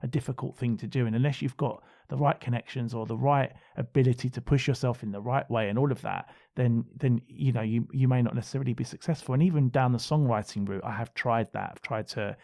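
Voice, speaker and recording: Husky-voiced man, gravelly and dark. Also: medium pitch, quick pace, few pauses, no room sound, studio quality. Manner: rambling, many restarts and "you know". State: voice going husky. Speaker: podcast guest. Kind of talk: casual conversation.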